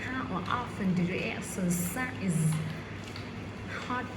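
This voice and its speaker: A woman learning English reads practice questions and answers aloud, haltingly, often repeating words and restarting phrases. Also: mid-range voice. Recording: echoey room with clear background noise.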